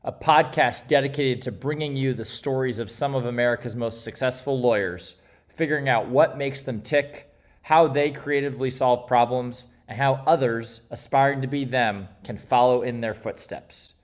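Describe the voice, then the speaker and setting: A man reading the scripted opening of a podcast in a smooth, even way. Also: mid-range voice, moderate pace. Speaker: show announcer.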